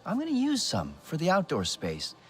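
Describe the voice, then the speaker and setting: Animated, chatty builder guy. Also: fairly high pitch, moderate pace, a little hiss or hum. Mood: enthusiastic. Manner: talkative, animated. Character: chatty, enthusiastic human builder, good-natured devoted husband. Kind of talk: video game dialogue acting.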